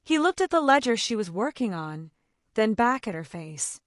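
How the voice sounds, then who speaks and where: A woman narrates a romance story with expressive, dramatic delivery. Her pitch moves freely across a wide range. The recording is dry and close, with no room sound. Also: moderate pace, dry recording.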